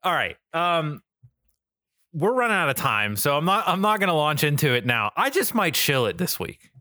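A man's voice: a little high, very animated, moderate pace, bright, forceful tone, no room sound, clean studio sound. A man co-hosting a podcast in a casual, informal chatty style. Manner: informal, frequently swearing. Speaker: podcast co-host.

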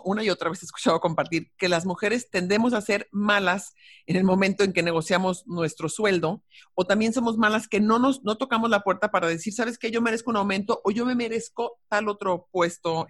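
A woman's voice: mid-range voice, brisk. A woman with a Mexican accent hosting a podcast interview in a conversational style.

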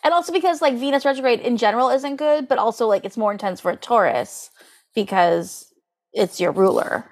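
A woman's voice: fairly high pitch, lively intonation, a little fast. A woman co-hosting a podcast in casual conversation, peppering her talk with "like". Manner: conversational, heavy use of "like". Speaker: podcast co-host. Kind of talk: casual astrology podcast chat.

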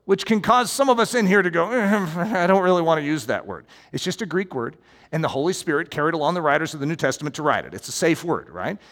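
A man preaching a sermon as pastor, animated and conversational, with asides to the congregation. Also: high voice. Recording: long hall reverb.

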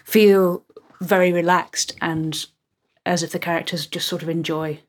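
Young adult woman, actor guest; low-pitched, chatty and enthusiastic. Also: steady tone, unhurried. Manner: chatty, enthusiastic, thinks aloud with ums. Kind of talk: casual interview chat.

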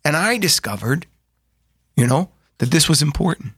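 A man's voice: on the high side, sing-song, moderate pace, a bit bright, husky, dry recording. Thoughtful middle-aged man, dry close sound. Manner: thoughtful, restarts often, says "like" a lot. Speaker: brother in a family discussion. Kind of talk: casual reflective conversation.

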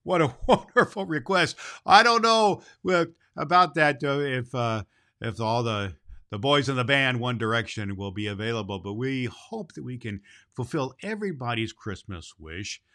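A man plays a jolly Santa Claus hosting a children's show. His voice is bright and forceful at a middling pitch. He talks to boys and girls in a cheery, folksy storyteller's way. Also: animated, moderate pace. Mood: jolly, kindly. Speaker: host and storyteller.

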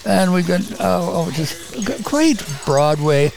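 An older man, a guest photographer in a casual interview, talking enthusiastically and rambling with a voice that is getting raspy. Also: high voice, big pitch swings, unhurried, darkish tone. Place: small bar.

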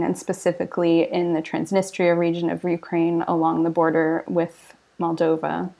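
A woman with a lowish, gravelly voice speaks as a guest on a podcast panel. She chooses her words carefully and thoughtfully. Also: a little mellow. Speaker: panelist, writer and teacher. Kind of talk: casual panel discussion.

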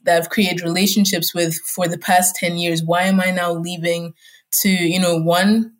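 A woman's voice: on the low side, flat delivery, normal speed. Young German-native woman telling her story. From Germany and Scotland. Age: young adult. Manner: reflective, with fillers and soft laughs. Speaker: student guest. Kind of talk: personal storytelling in an interview.

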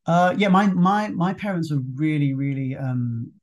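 A man's voice: fairly high pitch, lively intonation, measured pace, lo-fi sound. A young man, speaking as an interview guest in a reflective, hesitant way with many restarts. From London, England. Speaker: guest, fitness instructor. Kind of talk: casual interview talk.

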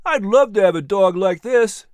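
A man's voice: high-pitched, expressive, normal speed, clean tone, dry recording, pitched above the performer's own voice. A man voicing an eager, friendly, polite young bookseller in a historical storybook. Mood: delighted.

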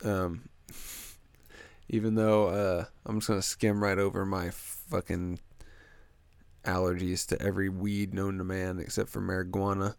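A young man with a congested, stuffy nose rambles through a solo podcast, crude and joking as he wanders off on tangents. His voice sits fairly low, and the recording is dry and close with no room sound. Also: little pitch movement, unhurried, vocal fry, darkish tone, smooth, no room sound. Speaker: podcast host.

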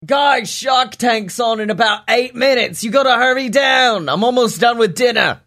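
A man voicing a cheerful father who shouts up from downstairs. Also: very high-pitched, normal speed, pitched above the performer's own voice, full character voice. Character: cheerful dad calling from downstairs.

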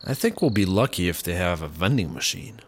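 Uptight, lowish-voiced anxious partner. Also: male voice, animated, a little fast, slight background noise. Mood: practical. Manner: wary, exasperated straight man. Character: uptight, anxious, practical male partner prone to paranoia. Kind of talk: sci-fi comedy audio drama acting.

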